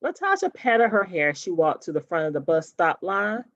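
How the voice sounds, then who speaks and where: A woman's voice: on the low side, big pitch swings, normal speed, no room sound, lo-fi sound. A woman reading a children's story aloud expressively, in the manner of a teacher.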